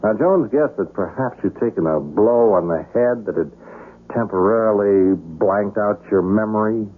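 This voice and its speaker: An actor playing a self-assured assassin's handler in a 1970s radio drama, urbane and measured, with a polite menace. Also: male voice, fairly low pitch, lively intonation. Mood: reassuring, insistent. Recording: noisy, narrow-band vintage radio broadcast.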